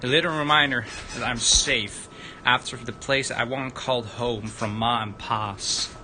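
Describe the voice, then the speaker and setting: A man narrating a visual novel aloud on a livestream, dramatic but halting, stumbling over the written words. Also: fairly even intonation, sharp tone. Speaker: streamer, narrator. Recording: noisy room with low rumble.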